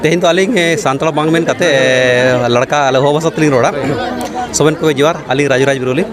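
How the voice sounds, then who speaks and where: Male film artist, Ho accent of Jharkhand, slightly high voice, unscripted appeal, clearly busy crowd behind. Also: a little fast. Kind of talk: spontaneous public appeal to camera. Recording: noisy outdoor crowd.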